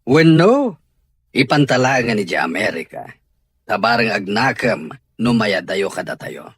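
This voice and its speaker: Man playing stern middle-aged father, raspy, expressive intonation, troubled, dry close sound, audio drama. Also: fairly low pitch, unhurried, no echo, full character voice. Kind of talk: Ilocano radio drama acting.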